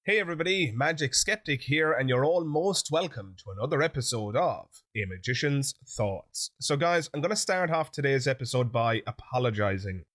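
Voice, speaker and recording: A man's voice: medium pitch, lively intonation, moderate pace, fairly bright tone. Male host, chatty direct-to-camera commentary, chesty and sniffly from an infection. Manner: chatty, direct address to viewers. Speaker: YouTube channel host. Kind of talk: opinion commentary monologue.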